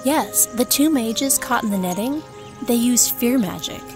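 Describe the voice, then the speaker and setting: A woman's voice: fairly high pitch, lively intonation, unhurried. Woman acting an earnest elf mother over music. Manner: earnest, explanatory. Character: caring, practical swamp elf mother. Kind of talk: fantasy audio drama acting.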